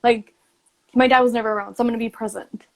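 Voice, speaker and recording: A woman's voice: fairly high pitch, steady tone, fast. A woman hosting a podcast, talking casually and reflectively in a supportive, informal way peppered with "like". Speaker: podcast host.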